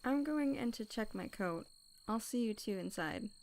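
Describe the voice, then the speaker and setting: A woman's voice: fairly high pitch, animated, moderate pace, a little mellow, slight background noise. A woman acting in an audio drama as a reserved young heist crew member, understated and slightly hesitant.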